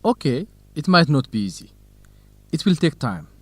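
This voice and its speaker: A man's voice: a little high, big pitch swings, normal speed, fairly bright tone, husky, dry recording, full character voice. An actor playing a dutiful, determined young man from Ethiopia in a radio drama for English learners. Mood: encouraging. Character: young Ethiopian farm son, dutiful, determined, quietly lovestruck.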